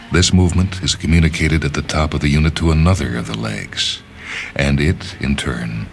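A man reading a literary short story as a radio narrator, in a measured, deliberate and dramatic delivery. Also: extremely low pitch. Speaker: reader of short stories on a radio show. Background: background music.

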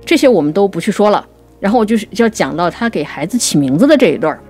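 A woman co-hosting a conversational talk on classical poetry, explaining things and reciting verse slowly. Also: medium pitch, sing-song, darkish tone, soft background music. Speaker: writer and co-host.

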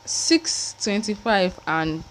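A woman's voice: medium pitch, takes it slowly, slight background noise, rough recording. A young woman with a Nigerian accent chatting casually to viewers in a vlog, often repeating herself. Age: young adult. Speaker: student vlogger. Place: small room at home. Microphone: small clip-on mic held near mouth.

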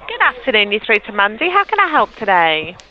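A woman's voice: mid-range voice, big pitch swings, a little fast. A woman working as a customer service agent, speaking politely on the phone. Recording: muffled phone line.

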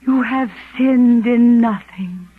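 A woman's voice: a little high, slow pace, husky. An actress playing a devoted, forgiving and devout woman in love in a 1940s radio drama. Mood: tender, devout. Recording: tinny, band-limited 1940s radio with music.

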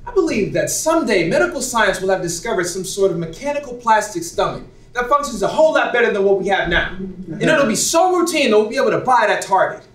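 A man's voice: sits high, very animated, a little fast, a bit bright. A young man on a small theater stage playing a shallow, smitten young man full of doubts, talking in an eager, earnest way. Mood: probing. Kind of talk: live stage acting. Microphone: far room mic. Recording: roomy theater sound from far off.

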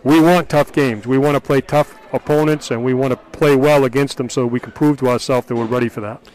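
Middle-aged man, Maine accent, basketball coach, postgame, unhurried and full of fillers. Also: mid-range voice, fairly even intonation, a little mellow, rough recording. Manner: measured, thoughtful, many fillers. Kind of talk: postgame interview. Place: school gym, indoors. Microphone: headset mic.

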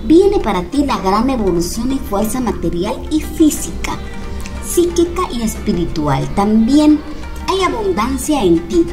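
Woman tarot reader, gentle and reassuring, fairly high lively voice, music playing behind. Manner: gentle, reassuring, calls viewers "mis cuervitos". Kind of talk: tarot card reading for viewers. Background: background music.

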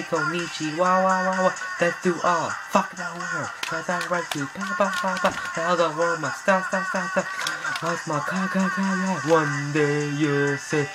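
A man's voice: on the high side, poor audio. A young man in his late teens sings casually along to a comic parody song, chanting its repeated hooks, recorded on a laptop webcam in a small bedroom. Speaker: amateur singer. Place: small bedroom with bright yellow walls. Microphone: laptop webcam at close range. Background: loud backing music.

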